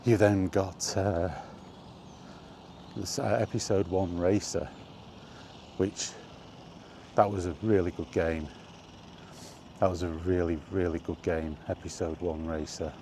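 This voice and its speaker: A man hosting a casual, rambling chat, thinking aloud with hesitant pauses. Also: sits low, little pitch movement, mellow. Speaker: video host reminiscing about games. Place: on the move, walkie talkie vlog. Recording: faint ambient background noise.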